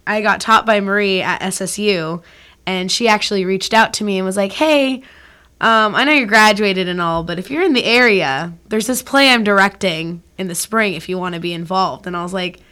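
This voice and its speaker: A woman's voice: medium pitch, normal speed, pushed and bright, clean tone. A woman in her twenties chatting casually as a guest in a studio interview, with informal, youthful phrasing. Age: 20s. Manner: informal, peppered with "like". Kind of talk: casual chat, storytelling. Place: radio studio.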